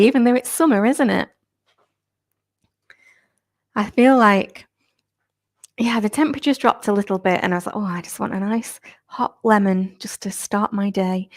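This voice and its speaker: Chatty fitness instructor. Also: female voice, mid-range voice, dry recording, studio quality. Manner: chatty, upbeat, laughs often. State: slightly croaky. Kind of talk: live-stream chat with viewers. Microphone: new clip-on microphone.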